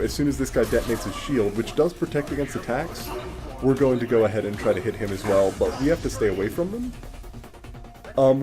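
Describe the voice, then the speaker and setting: Male gaming host, mid-pitched, conversational and explanatory, casual commentary with music underneath.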